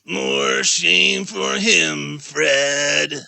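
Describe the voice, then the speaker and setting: A man voicing a disapproving, impatient young Victorian wife in a drawn-out, scolding manner for a storybook. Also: a little high, vocal fry, pushed and bright, no echo, heavily acted.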